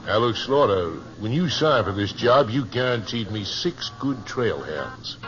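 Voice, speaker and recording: An actor in a 1950s radio western playing a wary, demanding American cattle owner who frets and complains. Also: male voice, fairly low pitch, lively intonation, measured pace, a crowd in the background. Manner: fretful, demanding. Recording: muffled old radio recording.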